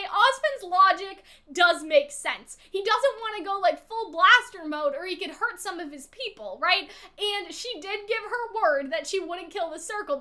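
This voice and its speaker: Very high-pitched, bright-voiced woman commentator. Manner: emphatic, exasperated, swears freely, often checks in with "right?". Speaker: vlogger, story analyst. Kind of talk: casual, opinionated story commentary.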